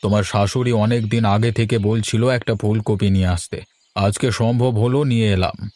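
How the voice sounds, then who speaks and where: A man's voice: fairly low pitch, fairly even intonation, moderate pace, darkish tone, lightly acted. A man voicing a weary, resigned elderly father-in-law in cartoon dialogue. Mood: weary, polite.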